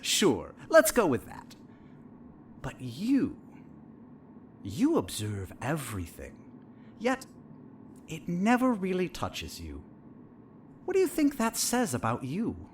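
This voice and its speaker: A probing therapist in an audio drama, acted by a man, with a wildly animated, swooping delivery. He is smug and insinuating, needling with leading questions as if he knows everything. Faint noise sits behind the voice. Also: a little high, slow, long pauses, full character voice. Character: probing, needling male therapist, smug and eerily all-knowing. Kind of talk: audio fiction dialogue.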